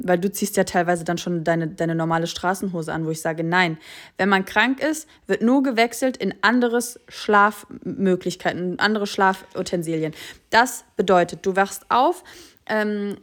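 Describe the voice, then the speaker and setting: A woman co-hosting a podcast in casual chat, talking emphatically with fillers and quick bursts. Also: mid-range voice. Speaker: podcast co-host.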